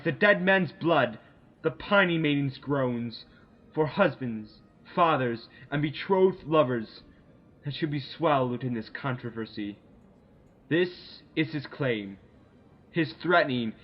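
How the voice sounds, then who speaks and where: Through a muffled, lo-fi recording comes a man acting a proud English duke in a Shakespearean radio play. He declaims his king's threats deliberately, with weighty dramatic pauses, at a middling male pitch. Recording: dull lo-fi amateur audio.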